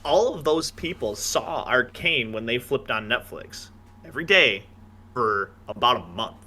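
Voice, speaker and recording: A man's voice: mid-range voice, expressive, a little slow, sharp tone. A man hosting a casual podcast discussion, steering the topics in an emphatic, playful way. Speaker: podcast host.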